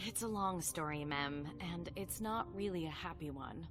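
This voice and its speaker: A woman's voice: lowish voice, normal speed, lightly acted. A woman playing a cynical, protective female vampire sire in a video game, with a dry, sardonic, emphatic delivery. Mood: concerned, defensive. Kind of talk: video game cutscene dialogue acting. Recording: game music underneath. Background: background music.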